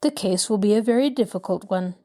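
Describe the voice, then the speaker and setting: A woman voicing a courteous middle-aged male lawyer who speaks in a measured, reassuring way. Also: mid-range voice, dark tone, natural voice. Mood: calm.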